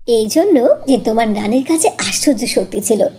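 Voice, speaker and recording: A woman voicing a gentle, patient young mother in a cartoon, soothing as she explains. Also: a little high, expressive, normal speed, a little hiss or hum, natural voice. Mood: patient, coaxing. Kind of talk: cartoon voice acting.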